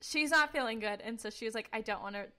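A woman's voice: on the high side, fast talker, pushed and bright. A young woman co-hosting a podcast, talking at length in a casual, emphatic way with a commanding voice. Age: young adult. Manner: talkative, informal, emphatic. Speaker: podcast co-host. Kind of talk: casual personal testimony and chat.

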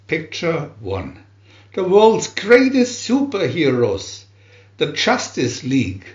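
Slow, high-pitched man announcing in echo. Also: huge pitch swings. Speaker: booklet reader and channel host. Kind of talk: slow announcer-like scripted narration for children. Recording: roomy echo, dull lo-fi sound.

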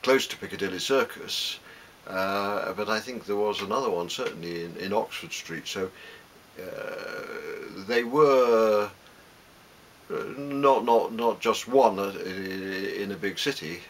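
A British man in his seventies reminiscing in an interview, speaking haltingly with many hesitant pauses. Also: lowish voice. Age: 70s. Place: small book-lined room at home. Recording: steady background hiss.

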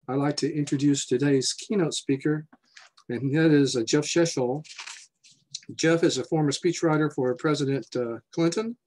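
A man's voice: on the high side, a little mellow, poor audio. A man in his sixties, president of a society, welcoming attendees and introducing a speaker over a video call in a loose, joking way with fillers. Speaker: society president hosting a symposium. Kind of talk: casual conference welcome and introduction. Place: home room, indoors.

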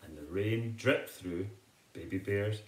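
A middle-aged man reading a picture book aloud to children in an expressive, deliberate storytelling manner. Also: fairly low pitch, roomy sound, low-quality recording. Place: living room at home, indoors.

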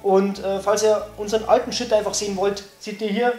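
A man's voice: sits high, flat delivery, brisk, darkish tone, roomy sound, soft background music. A young man hosting a comedy show with casual, scripted banter. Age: young adult. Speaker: host of a YouTube comedy show. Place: indoor room, on a couch.

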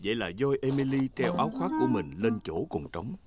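A man's voice: medium pitch, expressive, fairly quick, close to an everyday voice. A man narrating a children's cartoon from a script, in the role of storyteller. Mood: storytelling. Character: adult male storyteller of a preschool cartoon. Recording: music bed under the voice.

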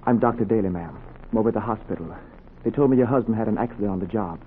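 An American actor playing an earnest, determined young surgeon in 1940s radio style, clipped and urgent. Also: male voice, lowish voice, very fast, phone-quality sound, lightly acted. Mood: pleading, determined. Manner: clipped commands, urgent pleading. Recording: noisy, thin 1940s radio recording.

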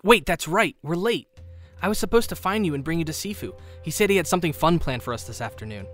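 A man playing a kind, earnest teenage boy in an animated fan drama, with a gentle, playful, conversational manner. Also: a little high, rapid-fire, faint music behind. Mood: teasing. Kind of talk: fan visual novel voice acting.

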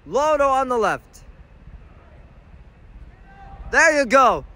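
Man, high-pitched, very animated, bright tense voice, amateur match commentary, sideline phone recording, faint background noise. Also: slow pace. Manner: animated, shouting at the referee and players. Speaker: sideline commentator and teammate filming. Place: indoor sports dome, large. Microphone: phone camera held by the speaker.

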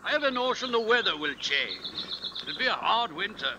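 An actor playing a weathered, plain-spoken farmer, folksy and wary, in a 1950s radio drama. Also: male voice, high-pitched, big pitch swings, normal speed. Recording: noisy old radio drama recording.

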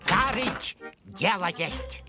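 Naive little lamb, cartoon, plaintive, hesitant, lively intonation, music behind. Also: mid-range voice, slow pace. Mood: hesitant pleading. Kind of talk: educational cartoon dialogue.

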